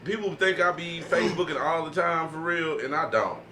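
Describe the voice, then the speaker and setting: A man's voice: fairly high pitch, lively intonation, fairly quick, over music. A man chatting casually in a group, slangy and given to repeating his phrases. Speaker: podcast panelist.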